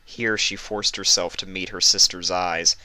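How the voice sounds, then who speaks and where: A man reading a fan fiction story aloud as expressive scripted narration. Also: low-pitched, unhurried, no room sound.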